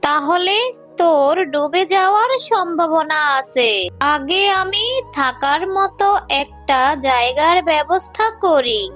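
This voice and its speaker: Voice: sits high, fairly bright tone. A performer voicing a worried, protective mother fox in a children's cartoon, speaking in a fretful, tender way.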